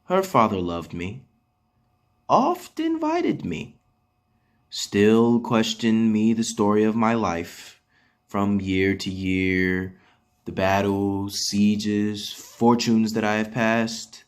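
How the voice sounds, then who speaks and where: A man performing a proud, earnest soldier pleading his case in a measured, declamatory Shakespearean stage monologue with dramatic pauses. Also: fairly low pitch, expressive. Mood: proud, storytelling.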